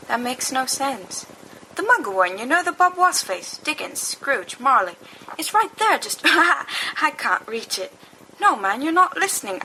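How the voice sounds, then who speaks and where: Woman doing stoned teen boy, high-pitched, giggly, rambling, slangy, storybook character, noisy recording. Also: sharp tone, exaggerated voice. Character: giggly, rambling group of stoned teenage schoolboys. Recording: noisy amateur home recording.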